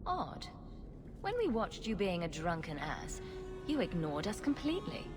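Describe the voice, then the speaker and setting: A woman's voice: on the low side, huge pitch swings, unhurried, over music. A woman playing a sarcastic, flippant rogue adventurer in a fantasy video game. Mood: wry sarcasm.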